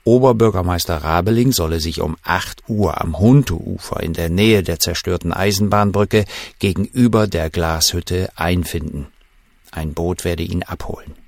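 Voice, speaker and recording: An actor playing a shaken German official who recalls a wartime night in measured, suspenseful first-person audio drama narration. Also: male voice, sits low, vocal fry, dry recording, clean studio sound. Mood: urgent, strained.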